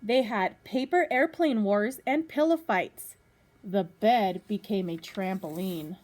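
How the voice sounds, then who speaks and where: A woman reading a children's picture book aloud as a teacher does for pupils, pausing to put questions to young listeners. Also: mid-range voice, a little mellow.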